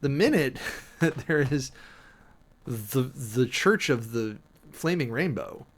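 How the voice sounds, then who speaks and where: A man's voice: medium pitch, lively intonation, slow. A man hosting a livestream, reading a formal document aloud and commenting off the cuff in an informal, emphatic way. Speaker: livestream host.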